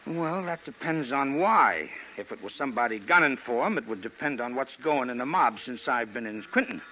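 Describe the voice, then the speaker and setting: Casting: male actor as jittery American ex-con, very animated pitch, slangy and pleading, vintage radio drama, noisy dull recording. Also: fairly quick, close to an everyday voice. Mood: nervous. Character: nervous, fast-pleading male ex-convict, slangy small-time crook. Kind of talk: 1940s radio crime drama acting.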